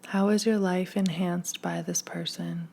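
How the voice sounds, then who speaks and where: A woman leading a scripted guided meditation in a gentle, hushed, soothing manner, leaving long pauses. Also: fairly low pitch, fairly even intonation, creaky, slightly rough.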